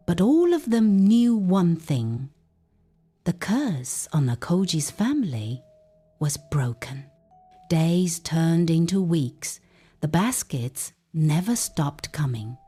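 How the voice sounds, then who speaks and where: A woman narrating a folktale as a storyteller, with deliberate, emphatic and dramatic phrasing. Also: lowish voice, mellow, husky.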